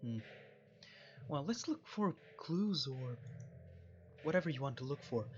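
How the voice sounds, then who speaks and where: A man's voice: medium pitch, lively intonation, unhurried, lo-fi sound, slight background noise. A man doing casual, rambling let's-play commentary, reading game dialogue aloud and adding jokey asides. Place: at home.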